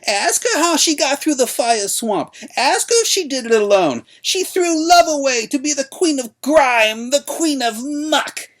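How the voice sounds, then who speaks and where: A man reading a storybook aloud, voicing a withered, bent old woman who yells slow, drawn-out accusations. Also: very high-pitched, lively intonation, voice pushed up, heavily acted. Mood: furious accusing. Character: shrieking ancient crone, withered and bent, accusing.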